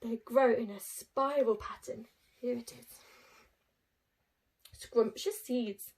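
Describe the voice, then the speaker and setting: Casting: woman, higher-pitched voice, primary teacher doing an expressive read-aloud for young pupils with simple asides. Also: takes it slowly, vocal fry, slight background noise. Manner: addresses young children, explaining simply with rhetorical tag questions. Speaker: infant school teacher reading to pupils.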